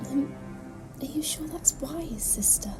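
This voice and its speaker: An actress playing a timid, tender-hearted junior alien nun in a sci-fi audio drama, meek and apologetic. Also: female voice, high voice, expressive, a little slow, music in the background. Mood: meek, anxious.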